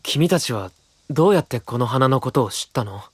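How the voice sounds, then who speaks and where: A man playing a sincere, patient young knight leader, speaking gently and plainly with a husky voice in an anime audio drama. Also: mid-range voice, lively intonation, a little fast, no echo. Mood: calm. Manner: gentle, earnest, plain-spoken.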